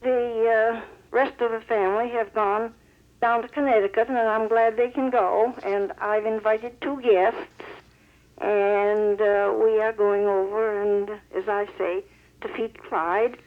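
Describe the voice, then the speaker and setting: A woman's voice: mid-range voice, steady tone, unhurried. A woman phoning in to a radio show over a muffled telephone line, chatting casually as a listener. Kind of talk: casual phone chat.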